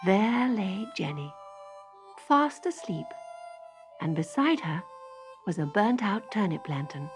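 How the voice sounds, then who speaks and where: Lady reading kids story, really expressive, medium pitch, bit of music underneath. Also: slow pace, pauses often. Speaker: storybook reader. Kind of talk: scripted children's story narration. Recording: faint music behind the reading.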